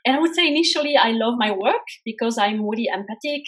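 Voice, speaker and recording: A woman's voice: on the high side, animated, fast, fairly bright tone. Brief: middle-aged French woman, accented English, earnest interview guest telling her career story. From France. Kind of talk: live interview, personal story.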